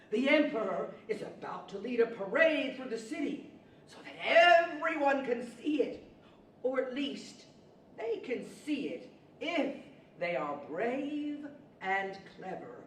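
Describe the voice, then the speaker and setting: A woman's voice: high voice, exaggerated voice. A woman playing a wry, clever talking horse with a chuckling, knowing delivery, in radio theater style. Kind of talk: 1940s radio theater acting. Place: large library event room, live performance. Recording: echoey hall from far off, faint noise.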